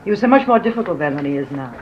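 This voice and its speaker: A gracious matriarch, very expressive delivery. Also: female voice, deep voice, very fast. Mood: fond, gracious. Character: gracious, affectionate older matriarch. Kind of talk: 1960s television soap acting. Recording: noisy old television soundtrack.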